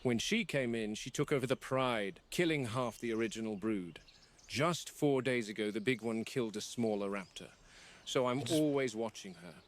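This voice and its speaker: A man acting as a measured, serious male game warden records a formal log for a found-footage horror film. His voice is medium in pitch, and he explains procedures for dangerous animals with deliberate, objective care. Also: a little hiss or hum, close to an everyday voice. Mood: measured, grave.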